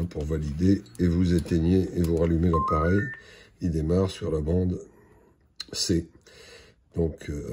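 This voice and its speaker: A man giving an unscripted product demonstration in a hesitant, halting, matter-of-fact way. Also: low-pitched, noisy background. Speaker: product presenter.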